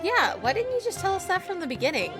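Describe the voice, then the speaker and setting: A woman's voice: very high voice, huge pitch swings, fast, music in the background. A woman game streamer giving casual, informal commentary with wry interjections during a playthrough.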